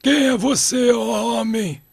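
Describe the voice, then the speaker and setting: Husky, high-voiced old father played by a man. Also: slow pace, no echo, studio quality, heavily acted. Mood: curious, probing. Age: older. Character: kindly old father of daughters, protective and curious. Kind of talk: acted cartoon dialogue in a religious story.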